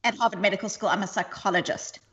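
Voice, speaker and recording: A woman psychologist joining a podcast by video call, bantering lightly, then explaining calmly. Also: mid-range voice, quick pace. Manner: warm laughing banter, then calm explaining. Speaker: guest psychologist. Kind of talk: casual interview chat. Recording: muffled video call line.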